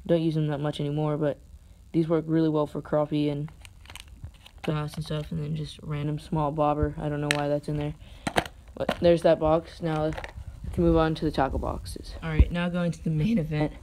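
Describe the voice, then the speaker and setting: Dude mumbling thru his fishing gear on his phone, pretty flat, kinda unsure, noisy background. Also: a little high, moderate pace. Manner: hesitant, rambling, unsure asides. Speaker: angler showing his tackle. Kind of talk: casual unscripted show-and-tell. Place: indoors, carpeted room at home. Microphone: phone camera held over the gear. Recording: phone recording with clear background noise.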